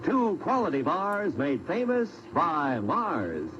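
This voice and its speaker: American man, old-time radio announcer, lively higher-pitched voice, dull sound, noisy recording. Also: takes it slowly.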